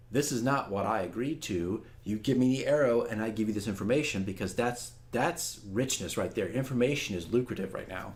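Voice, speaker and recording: A man speaking candidly and casually to viewers as a dungeon master, earnest and self-critical in a conversational way. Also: medium pitch. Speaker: dungeon master giving a campaign diary.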